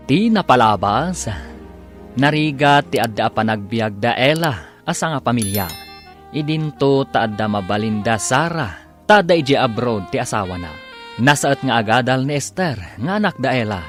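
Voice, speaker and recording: A man narrating a radio drama as announcer, with dramatic, drawn-out theatrical emphasis. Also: medium pitch, animated. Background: background music.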